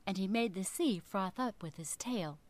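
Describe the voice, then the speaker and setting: A woman narrating a children's story expressively, with a deliberate, rhythmic, dramatic delivery. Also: medium pitch, lively intonation, darkish tone, no echo.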